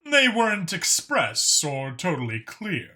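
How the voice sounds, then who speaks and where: A man's voice: fairly high pitch, wildly swooping pitch, unhurried, bright, forceful tone, voice pushed up, heavily acted. A man voicing a talkative alien dragon informant who explains things knowingly and with emphasis in an audiobook story. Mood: solemn, explanatory.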